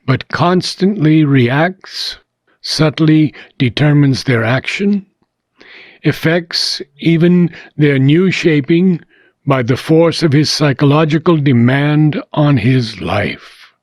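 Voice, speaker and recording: A man reading philosophical prose aloud in a measured, deliberate way with frequent pauses. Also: fairly high pitch, no room sound. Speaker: reader of a philosophical book.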